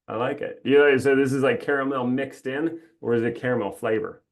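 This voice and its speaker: A man with an average-pitched voice hosts an online webinar in an easygoing, rambling way. He sounds upbeat and laughs often as he chats with the people joining. His voice comes through a video call microphone. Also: steady tone, fairly quick. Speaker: webinar host. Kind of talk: casual chatty webinar welcome.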